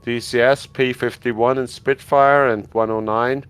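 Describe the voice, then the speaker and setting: A man's voice: mid-range voice, steady tone, measured pace, fairly bright tone, clear, resonant tone. A man chatting casually, rambling and hesitant with many fillers. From Austria. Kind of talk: casual chat.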